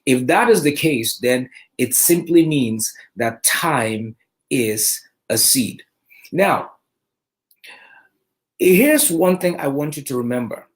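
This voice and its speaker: A man giving a motivational talk, emphatic, often slowing down to stress key points. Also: mid-range voice, expressive. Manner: emphatic, slows down for key points, restarts phrases. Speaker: motivational speaker.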